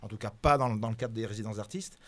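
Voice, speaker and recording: A man's voice: lowish voice, fast, fairly bright tone, no room sound. Hesitant man, French not his first language. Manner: hesitant, many fillers and restarts. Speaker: guest presenting a cultural program. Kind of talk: radio interview.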